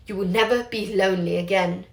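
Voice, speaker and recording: A sinister werewolf woman speaks slow, threatening promises, voiced by a female storyteller. Her words come out seductive and cruel. The room around her has a noticeable echo. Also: mid-range voice, fairly even intonation, creaky, natural voice. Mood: menacing. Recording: roomy echo.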